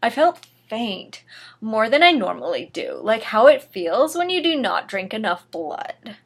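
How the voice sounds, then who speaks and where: A woman with a fairly high voice reads a story aloud at a slow pace. Her narration is theatrical and emphatic, broken up by dry asides to the listener. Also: big pitch swings. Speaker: reader of a fan fiction story. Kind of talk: dramatic reading with dry asides.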